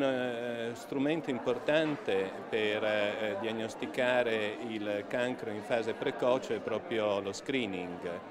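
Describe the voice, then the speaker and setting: A man explaining medical tests in an interview statement, hesitating often with fillers. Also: lowish voice. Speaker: medical expert. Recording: crowd audible behind.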